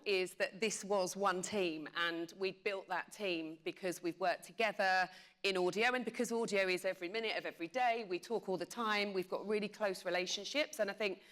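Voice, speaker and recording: A woman's voice: mid-range voice, fairly bright tone. A woman talking conversationally and emphatically as a media executive on a panel, repeating phrases for emphasis.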